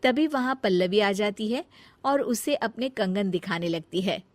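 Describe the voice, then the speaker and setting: A woman's voice: medium pitch, expressive, a little slow, no room sound. A woman reading scripted narration as the storyteller of a domestic tale.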